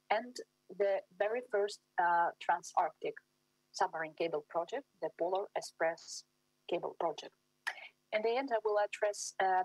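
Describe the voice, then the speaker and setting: Woman, 30s, from Siberia; academic presenter on a webinar call; husky, lowish voice. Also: no echo, poor audio. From Russia, Siberia. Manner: careful, formal, with frequent fillers and restarts. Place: small room at home.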